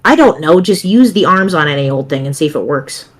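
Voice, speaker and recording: A cool, cocky teenage boy chatting online, read by a woman. He is deadpan and smug, teasing and bragging as he goes, in an amateur webcomic dramatic reading. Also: sits low, fairly quick.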